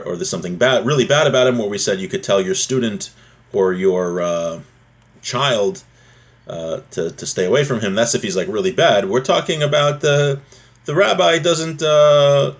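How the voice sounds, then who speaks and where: A man teaching a religious text class in an informal, explanatory way, with a halting, repetitive delivery. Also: on the low side. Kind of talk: spoken lesson, reading Hebrew text and explaining it in English.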